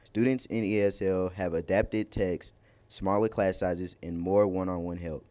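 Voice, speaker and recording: A man who is a student correspondent, reading a scripted news report in a steady manner. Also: low voice, measured pace, slight background noise.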